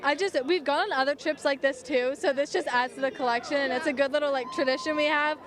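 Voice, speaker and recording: A young woman from Maine chatting casually in a street interview, relaxed and rambling. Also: sits high, quick pace, a crowd in the background. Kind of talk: casual chat.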